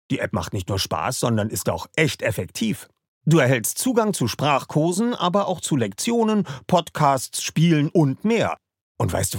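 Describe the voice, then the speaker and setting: Lively husky male podcast host, dry sound. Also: mid-range voice, no echo. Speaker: podcast host reading a sponsor ad. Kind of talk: scripted host-read advertisement.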